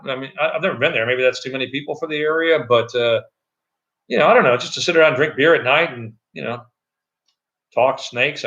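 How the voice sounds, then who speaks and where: A middle-aged man with a loud voice, chatting loosely on a live stream from an echoey room at home, with many fillers and restarts. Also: medium pitch, lo-fi sound. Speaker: channel host. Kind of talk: casual live-stream chat.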